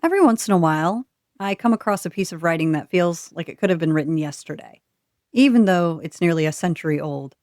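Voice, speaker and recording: Low-pitched woman hosting a podcast, dry sound. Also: animated, fairly quick, darkish tone, dry recording. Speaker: podcast host. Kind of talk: scripted podcast narration, reading and reflecting.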